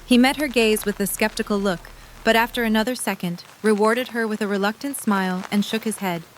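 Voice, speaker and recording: A woman narrates a scripted story in a mid-range voice. She reads descriptive prose as the narrator of an audio drama. Rain is clearly heard behind her. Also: medium pitch, fairly even intonation, brisk, a little hiss or hum, rough recording. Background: rain ambience.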